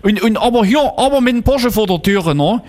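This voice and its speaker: A man's voice: high-pitched, expressive, a little fast, darkish tone, voice pushed up. A man on a prank call plays a nosy, insistent middle-aged neighbor, rambling on in a grumbling way. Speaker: prank caller. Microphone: telephone call.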